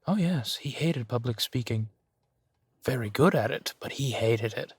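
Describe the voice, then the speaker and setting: Man playing a slow-talking, genteel old vampire duke. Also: mid-range voice, animated, husky, dry recording, studio quality. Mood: nostalgic, amused. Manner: unhurried, theatrical, savoring pauses with soft chuckles. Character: centuries-old male vampire duke, genteel, amused, doting host. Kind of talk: intimate roleplay audio drama.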